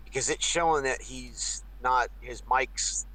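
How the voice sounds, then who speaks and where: A man's voice: mid-range voice, a little slow, bright, forceful tone, poor audio. Stammering man in a voice chat. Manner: stammering, interjects to get a word in. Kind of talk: casual voice-chat discussion. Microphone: computer mic in a voice chat.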